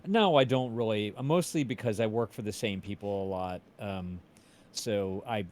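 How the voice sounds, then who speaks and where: A man's voice: on the low side, normal speed, a little mellow. A middle-aged man chatting casually as a co-host, with a wry conversational manner. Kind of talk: casual chat.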